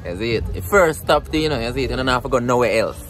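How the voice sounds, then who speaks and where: A man's voice: mid-range voice, expressive, fast talker, a bit bright. A young Jamaican man chatting to his viewers while filming street food stalls outdoors on a phone. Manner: chatty, addresses viewers as "people". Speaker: food vlogger. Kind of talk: casual street vlog talking to viewers. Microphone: handheld phone camera.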